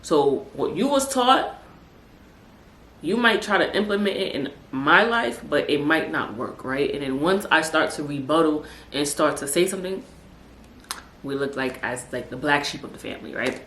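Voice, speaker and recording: Chatty low-voiced New woman, roomy. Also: moderate pace. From Brooklyn, New York City. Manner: chatty, animated asides like "child" and "honey". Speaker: podcast host. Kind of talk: casual chat. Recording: echoey room with faint noise.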